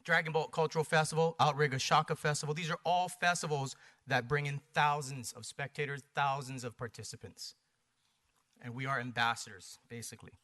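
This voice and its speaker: Bright, forceful American man presenting. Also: mid-range voice, fairly even intonation, fairly quick. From Hawaii, United States. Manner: emphatic, short punchy phrases and rhetorical questions. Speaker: presenter for a paddling community. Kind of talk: persuasive public presentation. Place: planning commission meeting.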